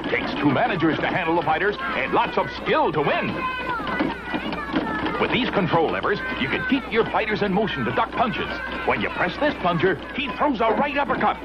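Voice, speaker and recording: A man's voice: high voice, very animated, normal speed, over loud music. A man announcing a 1960s toy commercial in an upbeat sales manner. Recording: lo-fi old TV ad with loud noise.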